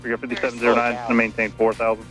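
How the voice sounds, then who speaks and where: Deep-ish atc dude rattling off clipped instructions super fast on the radio, music under it. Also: male voice, monotone. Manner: clipped, matter-of-fact. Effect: aviation radio. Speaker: air traffic controller. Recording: aviation radio with music behind.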